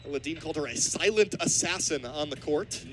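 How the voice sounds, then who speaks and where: A man's voice: on the high side, expressive, normal speed, over music. A man in his twenties calling live basketball play-by-play on the radio. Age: 20s.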